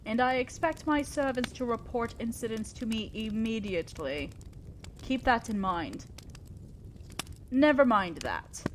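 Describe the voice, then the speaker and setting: A woman's voice: a little high, slight background noise. A woman playing an imperious, old-fashioned female demon lord in an audio roleplay, speaking formally and with condescending concern to the listener. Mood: stern, probing. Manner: commanding, formal, measured, condescending yet concerned. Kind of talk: scripted roleplay monologue addressed to the listener.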